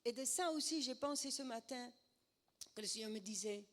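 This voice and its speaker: A middle-aged Dutch woman with a sore throat giving a church talk and testimony in a hesitant, halting way. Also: a little high, fairly bright tone. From Netherlands. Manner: hesitant, many fillers and restarts. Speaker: guest speaker.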